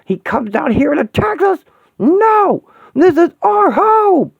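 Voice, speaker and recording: A man voicing a furious superhuman woman in a high, shrieking falsetto, over tinny, phone-like audio. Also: very animated, moderate pace, no echo. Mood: enraged.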